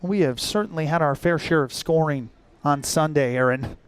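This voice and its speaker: A man's voice: on the high side, normal speed, clear, resonant tone, no echo. A young American man calling baseball live as a radio play-by-play announcer, in clipped, descriptive phrases. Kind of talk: live sports commentary.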